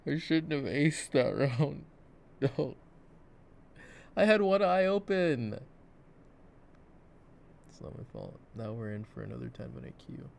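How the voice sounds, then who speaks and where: A man playing a goofy, soft-spoken gamer boyfriend who rambles with playful drama in an ASMR roleplay, over mouse clicks. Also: mid-range voice, very animated, slow, pauses often, full character voice. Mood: amused, protesting. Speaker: ASMR voice actor. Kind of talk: improvised-sounding ASMR boyfriend roleplay while gaming. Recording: clear background noise, mouse clicks. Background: mouse clicks and game ambience.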